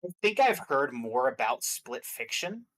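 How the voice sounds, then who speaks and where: A man's voice: medium pitch, sing-song, a little slow, a bit bright, rough recording. A man chatting casually and giving his opinions as a guest commentator on games. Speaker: guest commentator on game awards stream.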